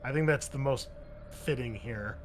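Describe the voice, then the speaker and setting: A man's voice: medium pitch, moderate pace, faint music behind. A man acting as game master, narrating and chatting in an informal, sweary way. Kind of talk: casual tabletop game narration and chat.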